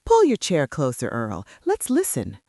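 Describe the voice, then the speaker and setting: A woman's voice: low-pitched, huge pitch swings, measured pace, heavily acted. A woman playing a homey, gossipy retired small-town wife who is easily thrilled, in scripted radio drama dialogue. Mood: anticipating, cozy. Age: older.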